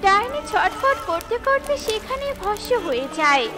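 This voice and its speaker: A woman narrates a scripted cartoon tale. Her voice is extremely high in pitch. Music plays clearly behind the narration. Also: big pitch swings, moderate pace.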